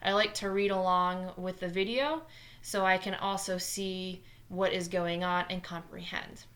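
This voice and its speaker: Fast-talking New Jersey woman teacher. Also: fairly low pitch, fairly even intonation, a bit bright. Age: 20s. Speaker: math teacher. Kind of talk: scripted lesson narration.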